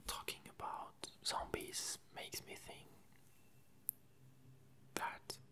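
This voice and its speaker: A French-accented ASMR creator, native in French, speaks in a hushed whisper right up on the microphone. The delivery is slow and hesitant, with drawn-out phrases and a crisp, bright edge. The sex of the speaker is hard to tell from the whisper. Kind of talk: whispered ASMR casual chat.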